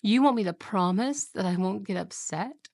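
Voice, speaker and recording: A woman voicing a possessive, jealous young wife in an ASMR roleplay, talking softly and slowly in whispers, sweet on the surface and menacing underneath. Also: medium pitch, animated, no echo, natural voice. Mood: quietly menacing. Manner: soft, slow, whispery, with giggles.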